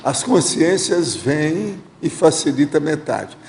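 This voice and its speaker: Brazilian male host, gravelly voice, direct advising tone, echoey hall sound. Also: fairly high pitch, sing-song, slow pace, darkish tone, slight background noise. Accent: Brazilian Portuguese. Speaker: host reading viewer questions. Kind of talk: studio Q&A, answering questions.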